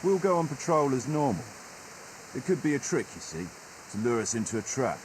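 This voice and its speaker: An actor playing a gruff, wary patrol chief in a medieval video game, speaking bluntly and with command. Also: male voice, mid-range voice, very animated, a little fast, a little hiss or hum, lightly acted, poor audio. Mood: scornful, wary. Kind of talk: naturalistic video game acting.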